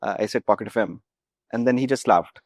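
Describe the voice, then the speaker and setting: A man's voice: lowish voice, fairly quick, dry recording, studio quality. A young man with an Indian accent, a founder recounting anecdotes casually and often checking in with "right". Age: young adult. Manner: often ends phrases with "right". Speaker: startup founder, interview guest. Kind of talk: casual anecdotal interview talk.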